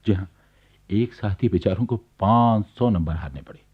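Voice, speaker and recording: An actor playing an amused storyteller in a radio play, narrating in a wry, chatty way. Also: male voice, lowish voice, moderate pace, breathy edge. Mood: amused, relishing. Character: amused male storyteller recounting neighbourhood gossip. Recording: dull, lo-fi archive radio recording.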